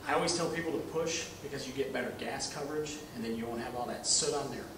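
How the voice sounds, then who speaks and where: A man's voice: medium pitch, fast talker, background noise. A man in his thirties giving a casual hands-on welding equipment demonstration in a shop, talking as he works. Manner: casual, talks while working with his hands. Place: welding shop corner with curtain backdrop.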